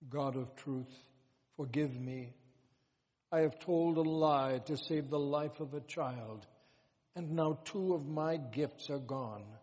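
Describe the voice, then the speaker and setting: A man voicing a calm, devout wise traveler who speaks low and hushed in an audio story. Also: slow pace, husky. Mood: contrite prayer. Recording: long hall reverb.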